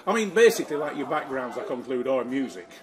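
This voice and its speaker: A man's voice: medium pitch, sing-song, fast talker. A middle-aged man interviewing guests in a casual, chatty way as host of a music show. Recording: music playing behind the voice.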